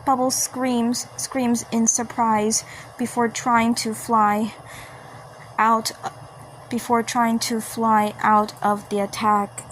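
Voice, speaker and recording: A woman narrating a fan dub, reading stage directions haltingly and repeating phrases. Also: fairly high pitch, level tone, fairly bright tone, rough recording. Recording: faint background noise.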